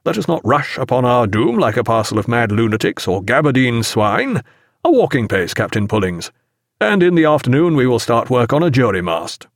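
A big, genial sea captain, acted out by a male narrator, blustering heartily in old-fashioned naval phrases. His pitch moves in lively swings, and the sound is dry and close, as in an audiobook. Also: moderate pace, no echo. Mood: hearty.

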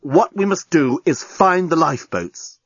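A man's voice: fairly high pitch, lively intonation, a little slow, breathy edge, no echo, lo-fi sound, pitched above the performer's own voice. A man voicing a flustered, apologetic male alien journalist in an audiobook, earnest and given to stammering. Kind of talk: storybook audiobook character voice.

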